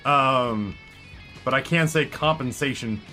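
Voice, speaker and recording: A man in his thirties streaming and commenting on a game in a rambling, self-mocking way, his voice hoarse after hours of talking. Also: medium pitch, slow pace, bright, forceful tone, faint music behind. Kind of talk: live gameplay commentary. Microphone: headset with headphones.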